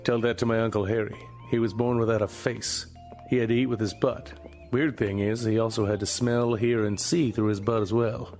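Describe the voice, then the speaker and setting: A man playing a crude, wisecracking noir-style hero in a comedy audio drama, delivered deadpan. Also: on the low side, normal speed, soft background music, close to an everyday voice. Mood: joking.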